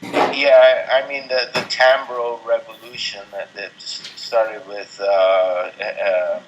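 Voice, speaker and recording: A middle-aged man from Venezuela speaking as an interview guest, thoughtful and halting, with many ums and restarts. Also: on the low side, little pitch movement, dull audio, background noise. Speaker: interview guest, artist and composer. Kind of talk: casual interview talk.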